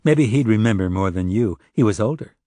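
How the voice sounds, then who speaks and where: A man voicing a composed female police sergeant in an audiobook, speaking in a measured, direct way. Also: fairly low pitch, lively intonation, dark tone, no room sound, close to an everyday voice. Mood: probing. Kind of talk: audiobook character dialogue.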